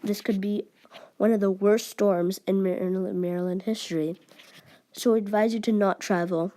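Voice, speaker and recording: A woman giving mock emergency news announcements in a formal but halting, amateur way. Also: medium pitch, fairly even intonation, mellow.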